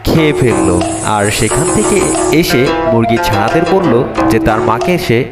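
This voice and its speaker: A man's voice: medium pitch, expressive, moderate pace. A man giving a dramatic scripted narration of a fairytale as the storyteller. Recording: distant voice under loud music.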